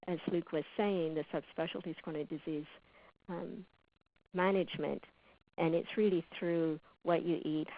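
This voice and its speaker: A woman from Uganda, a patient and public health specialist, talking in a relaxed, thoughtful way with many fillers. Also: sits low. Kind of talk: conversational webinar talk. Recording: tinny phone-like line.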